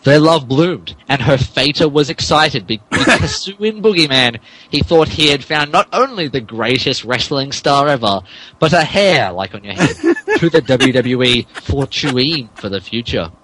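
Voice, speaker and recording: Male podcast narrator, mid-pitched and animated, mock-dramatic comic read with stumbles. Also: a little slow, slight background noise, rough recording. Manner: mock-serious, stumbles over words and breaks up. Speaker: podcast host reading as narrator. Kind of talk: comic dramatic reading of fan fiction.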